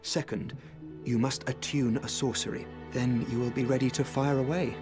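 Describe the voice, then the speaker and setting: A man's voice: mid-range voice, fairly even intonation, normal speed, over music, lightly acted. An actor playing a polite, earnest male sorcerer in a video game, speaking in formal, courteous words. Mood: earnest, reflective. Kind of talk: video game character dialogue.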